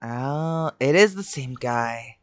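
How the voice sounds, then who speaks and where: A man's voice: mid-range voice, animated, takes it slowly, no echo. A man giving casual, profane gameplay reactions, blunt and exclamatory like a streamer.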